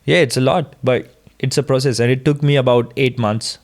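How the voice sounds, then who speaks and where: A young man speaks in a middle-range voice. He co-hosts a relaxed podcast conversation, offering encouraging, informal advice. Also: medium pitch, fairly quick. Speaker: podcast co-host. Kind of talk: casual podcast chat.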